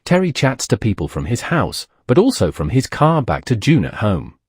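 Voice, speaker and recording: A man's voice: mid-range voice, huge pitch swings, moderate pace, slightly rough, no room sound, clean studio sound. A man narrating a documentary from a script.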